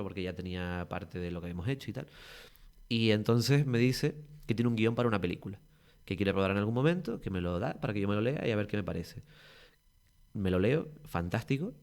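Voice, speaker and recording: A man's voice: fairly low pitch, creaky. A man from Tenerife with a Canary Islands Spanish accent, a guest actor telling long, rambling stories in a casual podcast interview. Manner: lively, rambling storytelling with many restarts.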